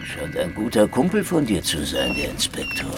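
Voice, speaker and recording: A man's voice: lowish voice, huge pitch swings, normal speed. A man playing a sardonic, world-weary Soviet secret policeman in a hardboiled radio crime drama, wisecracking sarcastically. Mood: wry, probing. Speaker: actor playing the lead investigator and narrator. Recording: long hall reverb, music behind.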